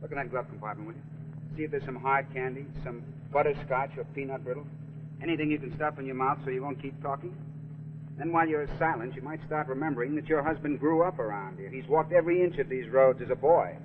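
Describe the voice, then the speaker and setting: An actor playing a flippant, stubborn, wisecracking professor husband in a 1940s suspense television drama. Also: male voice, medium pitch, fast talker, background noise, lightly acted. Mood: irritated. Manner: sardonic, wisecracking. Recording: muffled vintage TV soundtrack, music behind.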